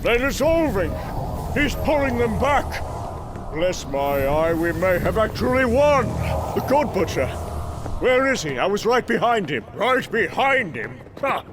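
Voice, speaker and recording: A man's voice: sits high, big pitch swings, unhurried, background noise. A man playing a boisterous, blunt warrior god in a comic-book drama, with a theatrical, mocking delivery. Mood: excited. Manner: theatrical, blustering.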